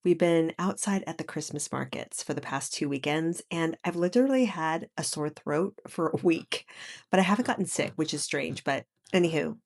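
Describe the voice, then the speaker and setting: A woman with a very low voice talks straight into a microphone while lying in bed at home. Her throat is sore, so her voice comes out scratchy and froggy. She chats casually with her viewers, turning indignant as she vents. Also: moderate pace, darkish tone. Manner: chatty and indignant. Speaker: channel host. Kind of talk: casual commentary vlog. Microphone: microphone with laptop.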